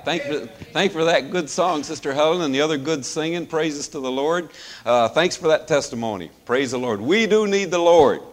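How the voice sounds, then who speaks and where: A middle-aged man preaching in a folksy, chuckling manner, hoarse as he loses his voice, with plenty of fillers. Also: mid-range voice. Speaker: pastor. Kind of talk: sermon.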